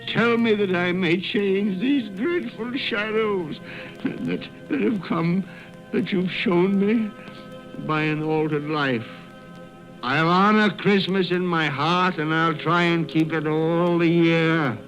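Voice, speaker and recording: An actor playing a fearful old miser who pleads for redemption, in a theatrical, halting radio drama manner. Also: male voice, a little high, a bit bright. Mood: desperate pleading. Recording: muffled old radio recording, music behind.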